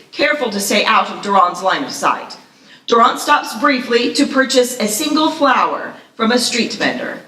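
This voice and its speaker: A woman's voice: a little high, sharp tone. A woman reading screenplay action aloud as narrator at a live table read, with a dramatic, vivid delivery. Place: live festival reading in echoey room. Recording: echoey room, distant mic.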